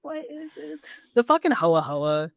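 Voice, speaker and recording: A woman's voice: a little high, very animated, unhurried, gravelly, no echo. A woman co-hosting a podcast in casual chat, playful, slangy and full of exclamations. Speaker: podcast co-host.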